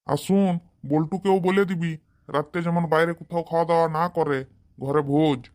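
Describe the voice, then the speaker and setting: A woman plays a doting old village father in a comedy cartoon. The voice sits fairly low for a woman, and he talks in a homely, folksy way. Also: brisk, darkish tone, natural voice. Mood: friendly, instructing.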